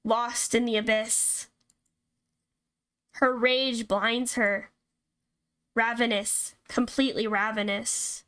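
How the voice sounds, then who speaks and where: A woman voicing ominous, accusing spirits of a horror game in a slow, drawn-out, solemn delivery. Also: on the high side, level tone, fairly bright tone, clean tone. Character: ominous, accusing spirit chorus haunting a cabin.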